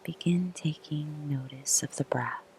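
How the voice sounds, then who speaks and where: A woman guiding a meditation in a soft whisper, with a slow, soothing delivery and long pauses. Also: deep voice, fairly even intonation, slight background noise. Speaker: meditation guide. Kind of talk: guided meditation.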